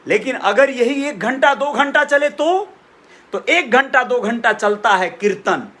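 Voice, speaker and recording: A man's voice: extremely high pitch, lively intonation, a little slow, fairly bright tone. A middle-aged man gives an informal, earnest opinion monologue to viewers, repeating phrases for emphasis. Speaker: vlogger giving opinions.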